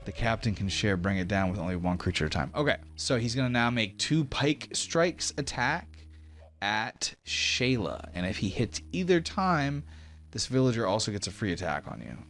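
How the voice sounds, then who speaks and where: A man's voice: on the low side, very animated, moderate pace, a bit bright. A man running a tabletop game as game master, moving between dramatic combat narration and casual rulings. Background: background music.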